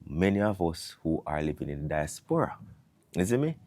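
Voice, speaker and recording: Jamaican man with a low voice. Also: normal speed, dry recording. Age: 30s. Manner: animated, mixing patois into English. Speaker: vlogger. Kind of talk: casual monologue.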